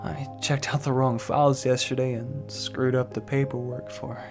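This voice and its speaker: A man playing a young, downcast, earnest rookie cop in an audio drama, halting and unsure of himself. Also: mid-range voice, level tone, over music, natural voice. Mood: downcast, grieving. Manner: halting, unsure, soft-hearted.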